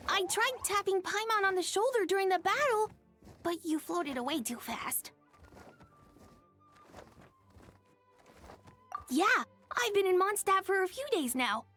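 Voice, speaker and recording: A woman voicing a young boy dragon in a video game, shy, gentle and earnest, with a soft, hesitant, sincere delivery. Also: very high-pitched, expressive, moderate pace, faint music behind, heavily acted. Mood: sheepish.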